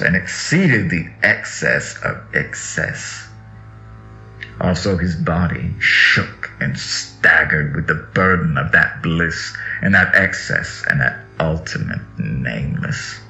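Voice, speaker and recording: A man with a deep, gravelly voice gives a solemn, theatrical recitation of scripture, drawing out phrases and swelling with emphasis. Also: sharp tone. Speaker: reader. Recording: dull lo-fi audio with music underneath. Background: music.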